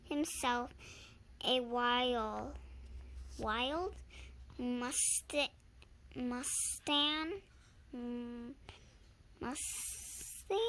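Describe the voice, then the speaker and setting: A young storyteller reading aloud haltingly, sounding out and repeating words, recorded on a handheld phone. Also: high voice, sharp tone, slight background noise. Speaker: reader and channel host.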